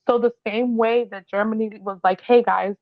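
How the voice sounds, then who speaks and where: Gravelly-voiced woman on a dull, dry mic. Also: fairly high pitch, fairly even intonation, no echo. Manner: explains history as a run-through, with quick agreeing replies. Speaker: podcast co-host. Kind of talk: casual chat.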